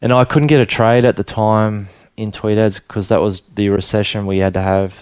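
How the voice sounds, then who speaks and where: A relaxed Australian man in middle age, his low voice staying level and smooth as he rambles through his story. He circles back and restarts often, and the sound is dry and a little dull. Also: normal speed, no room sound. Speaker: interview guest. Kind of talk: casual storytelling interview.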